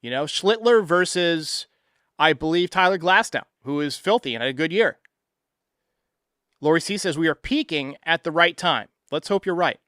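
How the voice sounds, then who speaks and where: A man's voice: fairly high pitch, expressive, normal speed, bright, forceful tone, clear, resonant tone, dry recording, clean studio sound. An American man hosting a sports fan show, giving commentary and responding to voicemails.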